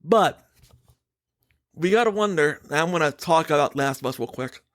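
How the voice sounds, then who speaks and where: A man's voice: on the high side, lively intonation, moderate pace, fairly bright tone, no room sound. A man hosting a casual podcast, giving his opinions emphatically and swearing casually. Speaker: podcast host.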